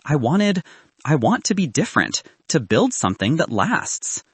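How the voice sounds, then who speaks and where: Man playing an earnest young startup founder, husky, expressive. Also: fairly high pitch, moderate pace, no room sound, voice pushed up. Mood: earnest, wistful.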